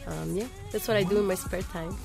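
A woman's voice: medium pitch, steady tone, quick pace, vocal fry, music in the background. A young woman from Belgium, a native Dutch speaker with a Dutch accent, chatting casually as an interview guest. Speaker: interview guest, model and musician. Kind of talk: casual chat.

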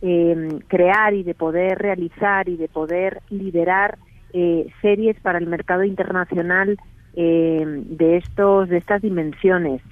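A middle-aged woman with a Spain Spanish accent, calling in as an interview guest, speaking earnestly in measured phrases with many small restarts. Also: fairly low pitch, steady tone, no room sound. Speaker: guest, TV production company head. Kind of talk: phone-in interview answers. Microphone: telephone. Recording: tinny phone line.

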